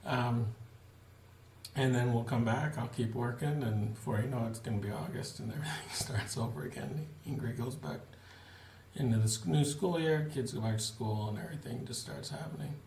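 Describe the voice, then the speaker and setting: A man in his forties giving a relaxed, plain-spoken video update to supporters from a small home office, with frequent fillers. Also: mid-range voice, moderate pace, slight background noise. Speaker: missionary giving a family update. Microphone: webcam at desk. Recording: roomy webcam audio with faint ambience.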